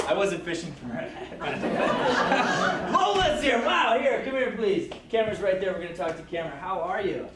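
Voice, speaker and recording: A man with a slightly high voice hosts lively on-camera interviews and calls people over with showman-like enthusiasm. He sounds distant from the mic, as if recorded by a camera across a large room. The recording is echoey and carries clear background noise. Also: normal speed, few pauses. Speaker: interviewer at a kids' film camp.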